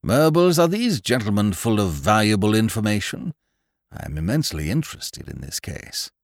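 Man voicing a low-pitched, jovial English barrister. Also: big pitch swings, moderate pace, creaky, no room sound, studio quality. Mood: gracious, eager. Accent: upper-class English. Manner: effusive, urbane, talkative. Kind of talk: audiobook character voice.

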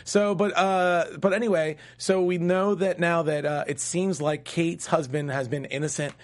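A man's voice: a little high, fairly even intonation, normal speed. A man co-hosting a casual TV recap chat, rambling and restarting his sentences. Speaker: TV recap show co-host.

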